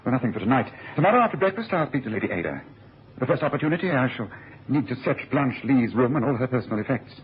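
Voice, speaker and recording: A man acts out a shrewd gentleman detective for a radio play. He talks quickly and precisely, with lively swings of pitch and a commanding manner. The recording is dull with faint noise. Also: close to an everyday voice. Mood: deliberating. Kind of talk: radio drama acting. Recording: muffled, slightly noisy old radio recording.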